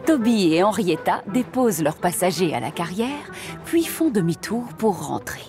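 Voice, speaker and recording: Lively woman storybook narrator. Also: medium pitch, moderate pace. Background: music.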